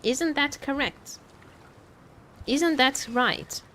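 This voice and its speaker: A woman streamer giving casual live commentary on a game, expressive and playfully complaining, often repeating her phrases. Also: high-pitched, drawn-out words, crowd noise. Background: game music.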